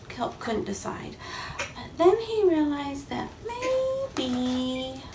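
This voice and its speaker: A woman reading a children's picture book aloud expressively, like a parent to a child. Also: sits high. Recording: echoey room, faint background noise.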